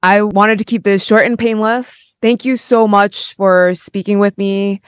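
A woman's voice: medium pitch, fairly even intonation, a little slow, clean tone, no echo, clean studio sound. A woman hosting an interview in a casual, chatty style. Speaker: podcast interview host.

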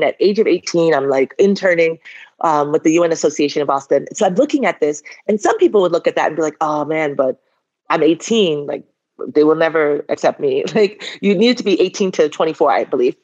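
A woman in her forties speaks as a guest in an interview, lower-pitched for her sex. She tells her story in a lively, candid way and laughs often. The audio is dull and lo-fi. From Liberia. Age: 40s.

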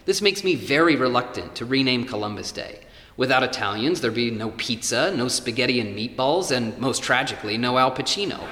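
A man's voice: mid-range voice, expressive, a little fast, sharp tone, slight background noise. A man playing a self-assured conservative debater in an audio-play panel, articulate and lecturing in manner. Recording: echoey hall with long reverb.

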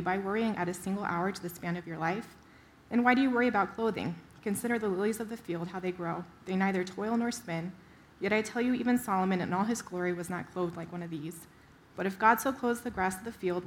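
A woman's voice: medium pitch, slight background noise. A woman reading scripture aloud as a volunteer reader.

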